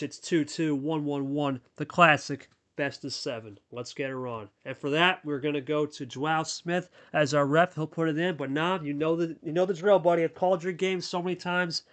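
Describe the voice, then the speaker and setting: A man doing casual play-by-play commentary on a card game, chatty and hyped, talking with his co-host. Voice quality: deep radio voice.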